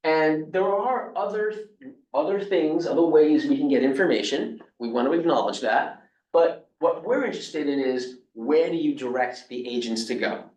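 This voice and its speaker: New York man, slightly high voice, casual seminar speaker; recorded off-mic in a roomy space. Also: moderate pace, a little mellow. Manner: loose and conversational, with many fillers and restarts. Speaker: lecturer. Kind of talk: academic seminar talk. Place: seminar room. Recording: echoey room, speaker far from the mic.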